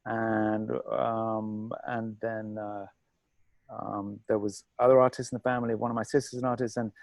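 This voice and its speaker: Soft-spoken hesitant man from England. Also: fairly low pitch, flat delivery, no room sound. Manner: halting, many restarts and fillers, soft-spoken. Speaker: artist guest. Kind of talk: conversational interview answers.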